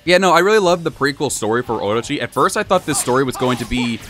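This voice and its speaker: A young man chatting through a casual let's-play, rambling with many fillers, his voice a little hoarse and wearing thin. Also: mid-range voice, lively intonation, fast talker, a bit bright, soft background music. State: slightly hoarse, losing his voice. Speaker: gaming channel host.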